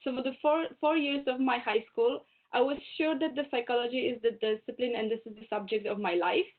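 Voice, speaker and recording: A woman's voice: on the high side, steady tone, a little fast. Woman on a tinny video call. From Poland. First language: German. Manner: chatty, self-correcting, laughs easily. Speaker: guest speaker. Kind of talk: webinar talk.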